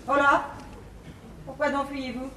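A woman's voice: high voice, little pitch movement, measured pace, sharp tone. An actress playing an imperious, affected learned lady of the house in a classical French stage comedy, declaiming verse grandly. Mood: commanding. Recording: echoey theatre stage, noisy 1950s recording.

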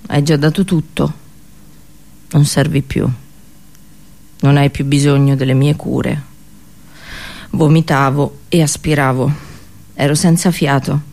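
A woman performing an intense theatrical radio monologue as an anguished, unheeded princess seer, in deliberate, broken phrases, in Italian radio drama style. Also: deep voice, darkish tone. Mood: dark, anguished. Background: music.

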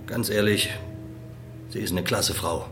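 Low-voiced, laconic policeman. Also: unhurried, fairly bright tone, faint music behind. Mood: guarded, offhand. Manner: terse, offhand. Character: laconic, gruff male police colleague, casually bigoted. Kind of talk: understated radio drama acting.

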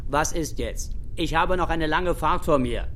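Impatient angry dealer type, highish voice, snappy and sweary, bit of background noise, audio play. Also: male voice, unhurried, a bit bright. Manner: irritated, swearing. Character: aggressive, foul-mouthed, impatient male criminal dealer. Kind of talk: audio drama acting.

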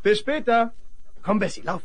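A man's voice: high-pitched, very animated, normal speed. A male actor playing a plucky, friendly young rancher's son in a 1970s western radio play, familiar and assured. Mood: cheerful. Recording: noisy vinyl radio play with animal sound effects.

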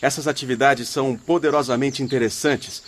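An actor playing a courteous, authoritative spirit leader in a radio drama, speaking in a formal, measured way. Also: male voice, mid-range voice, fairly even intonation, a little hiss or hum, lightly acted. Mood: courteous, instructive.

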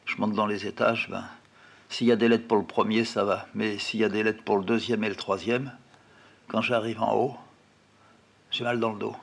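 A man telling a first-person childhood memory in a plain, unhurried, quietly reflective way. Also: fairly low pitch, flat delivery, gravelly, slightly rough. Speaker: storyteller. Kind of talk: first-person memoir narration.

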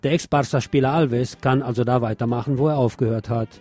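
A man's voice: mid-range voice, fairly even intonation, fast talker, a little mellow. A man presenting scripted news with commentary, explaining items in an opinionated way.

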